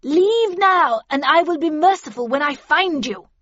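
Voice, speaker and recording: A woman's voice: high-pitched, animated, moderate pace, breathy edge, no room sound, lo-fi sound, voice pushed up. A woman voicing a stern, commanding older woman with a brusque, grim and impatient manner in a narrated storybook. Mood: defiant.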